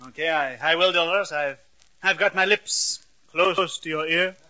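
A man's voice: fairly high pitch, steady tone, unhurried, bright, forceful tone. An actor playing a shy, naive young man who stammers and gets flustered in a 1940s radio sitcom. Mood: bashful, nervous. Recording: dull, noisy vintage radio recording.

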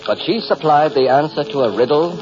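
A man acting as a theatrical storyteller from a 1940s radio drama speaks in a measured way. His intonation moves widely over music. The old recording is muffled. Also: on the high side, animated, close to an everyday voice. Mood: measured, ominous. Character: the philosophical voice of a clock, narrating.

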